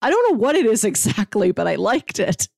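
A woman's voice: medium pitch, big pitch swings, brisk, creaky, darkish tone, dry recording. Chatty woman in a dry, close recording. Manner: conversational, lightly amused. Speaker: podcast co-host reviewing films. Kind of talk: casual film discussion.

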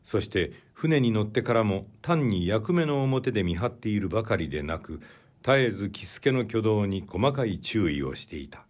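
A man reading classic literary fiction as an audiobook narrator, in a measured, deliberate way. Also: fairly low pitch, lively intonation.

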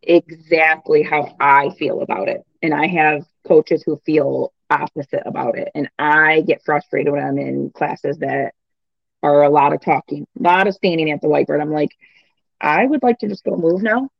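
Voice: mid-range voice, fairly even intonation, normal speed, creaky, no echo, lo-fi sound. A gym owner and coach joining a casual chat, direct and asking pointed questions.

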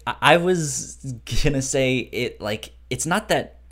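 A man with a mid-range voice and a highly animated pitch chats as a podcast co-host. He talks haltingly, repeating words as he goes, and acts out lines of dialogue in a casual discussion. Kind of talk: casual book discussion chat.

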